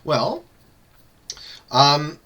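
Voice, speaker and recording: A man hosting a casual gaming podcast, talking in a halting way with many filler sounds while he sets up an online game. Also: fairly high pitch, very animated, bright, forceful tone. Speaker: podcast host.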